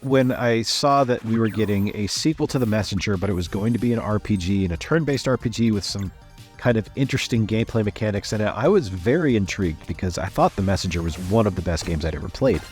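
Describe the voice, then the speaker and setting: A man's voice: fairly low pitch, animated, quick pace, a little mellow, faint music behind. A man hosting a game review voice-over, congested from a sinus infection and talking with gushing enthusiasm. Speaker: game channel host.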